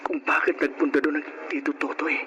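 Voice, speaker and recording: A man's voice: on the high side, little pitch movement, a little fast, background noise, close to an everyday voice. An actor playing an adventurous, self-assured young man, curious about everything, in a radio drama. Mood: hushed, curious. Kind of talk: radio drama acting with hushed narration.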